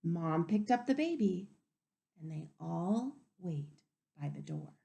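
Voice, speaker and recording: A woman reading a picture book aloud to young children as a teacher, expressively, pausing to wonder aloud and ask them questions. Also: on the low side, gravelly. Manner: expressive, wondering aloud, inviting listeners to reflect.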